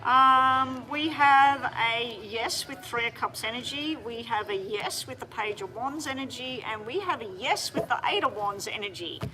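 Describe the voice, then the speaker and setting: A woman doing a live-streamed tarot reading, talking straight to viewers in a chatty, hurried way. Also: a little high, rough recording. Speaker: tarot reader.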